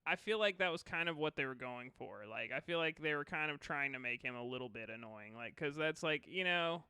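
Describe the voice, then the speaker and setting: Man, podcast co-host, bright voice, slightly high, dry close mic, casual, swears freely, says "like" a lot. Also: expressive, brisk, clear, resonant tone, dry recording. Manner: laid-back and enthusiastic, swears freely, says "like" and "you know" a lot. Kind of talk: casual live-stream chat.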